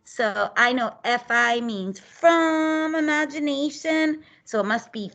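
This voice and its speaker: A woman's voice: sits high, takes it slowly, fairly bright tone. A woman casually discussing a picture book, giving simple answers as if she were the student. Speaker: teacher playing the student in a reading demonstration.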